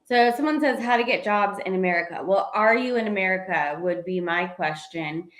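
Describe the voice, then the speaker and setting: A woman co-hosting a motivational talk in a mid-range voice. She is chatty and direct, offering practical tips. The room adds a clear echo to everything she says. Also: medium pitch, moderate pace, fairly bright tone. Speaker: co-host. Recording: echoey room.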